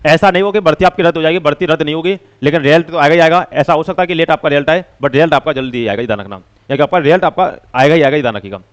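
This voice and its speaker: A man's voice: a little high, racing, hardly any pauses, clear, resonant tone, lo-fi sound. A man giving an informal explainer to students as a coaching teacher, with repetitive, filler-heavy phrasing. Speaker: coaching teacher hosting exam update videos.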